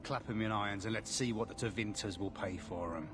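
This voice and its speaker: An actor playing a swaggering, threatening slaver gang boss in a video game, with a gloating manner. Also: male voice, fairly low pitch, brisk, close to an everyday voice. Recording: noisy, long reverb.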